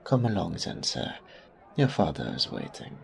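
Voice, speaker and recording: A haughty male servant of a noble house, acted by a man in an audio drama. His voice is very deep with a heavy creak, swooping through formal, over-polite phrases with pompous disdain. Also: sing-song, normal speed, heavy background noise, exaggerated voice. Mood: indignant, dismissive. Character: stuffy, haughty male agent of a baron. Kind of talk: audio roleplay drama acting.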